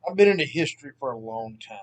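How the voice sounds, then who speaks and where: A man in his thirties with a Southern Mississippi accent, chatting casually at home as the host of a history channel, relaxed and rambling. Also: medium pitch, quick pace. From Southern United States (Mississippi). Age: 30s. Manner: rambling, relaxed, self-deprecating. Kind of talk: casual vlog chat. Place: at home, sitting.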